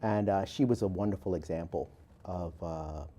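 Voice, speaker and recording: A man in his forties from Barbados, an author and guest, giving measured, thoughtful interview answers with many filler pauses. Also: low voice, warm tone.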